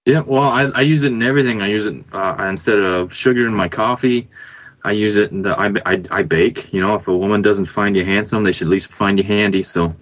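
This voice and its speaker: A man's voice: fairly low pitch, a little fast, a bit bright, muffled recording, slight background noise. A man with a Canadian accent chatting casually as a guest, explaining things in a plain, easygoing way. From rural Canada. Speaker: podcast guest, band musician. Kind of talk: casual chat.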